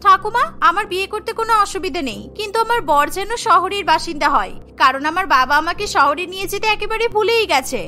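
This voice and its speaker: A woman's voice: very high voice, expressive, fairly quick, few pauses, bright, forceful tone, heavily acted. A woman voicing a spoiled, sulky young city granddaughter in a cartoon, whiny and insistent. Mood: petulant, defiant. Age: young adult. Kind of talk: cartoon story character voice. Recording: music behind the voice.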